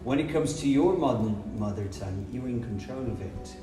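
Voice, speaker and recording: A man's voice: medium pitch, expressive, fairly quick. A man from Brazil, an English teacher explaining his points emphatically in short repeated phrases on a podcast. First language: Portuguese. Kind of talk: podcast conversation. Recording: roomy, distant mic, music behind.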